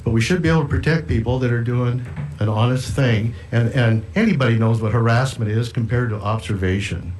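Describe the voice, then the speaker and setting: Middle aged guy telling his story at a public hearing, normal pitch, bit of noise behind. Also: fairly quick, a little mellow. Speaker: aquarium fisherman giving testimony. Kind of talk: public testimony, personal account. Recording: hearing room with faint noise.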